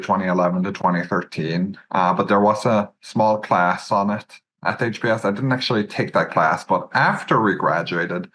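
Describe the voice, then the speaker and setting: A man with a very deep voice and a Norwegian accent talks through his career in a steady, thoughtful way. He fills his pauses with "uh"s and "um"s. A faint noise sits under the voice. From Norway. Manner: measured storytelling with frequent "uh" and "um". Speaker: podcast guest, search fund entrepreneur. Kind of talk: relaxed interview answers.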